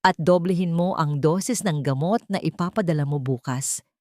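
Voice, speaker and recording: A woman's voice: deep voice, moderate pace, a little mellow, no echo, studio quality, close to an everyday voice. A woman voicing a scheming, cold-hearted rich stepmother whose sweet voice hides steel, in an audio story. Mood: cold commanding. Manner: sweet on top, icy and commanding underneath.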